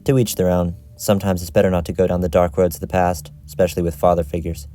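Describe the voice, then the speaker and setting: A man voicing a principled, idealistic outcast vigilante leader in an amateur animated series, giving earnest little speeches. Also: sits low, fast talker, natural voice. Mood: earnest, reassuring.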